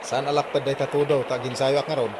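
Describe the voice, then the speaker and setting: A man's voice: medium pitch, flat delivery, fast, background noise, lightly acted. A man with an Ilocano accent playing a rude, hot-headed, boastful son in a comedy radio drama. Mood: defiant.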